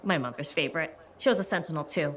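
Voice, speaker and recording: An actress playing an earnest, dryly playful guard who loves rules, matter-of-fact, in a video game. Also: female voice, deep voice, quick pace, lightly acted. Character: orderly female guard, earnest rule-lover, dryly playful. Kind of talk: video game dialogue acting. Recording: clear ambient noise behind the voice.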